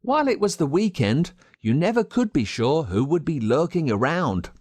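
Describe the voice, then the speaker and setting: Australian man, children's audiobook narration, expressive, slightly high, very varied pitch, dry sound. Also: normal speed, dry recording. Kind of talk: scripted children's story narration.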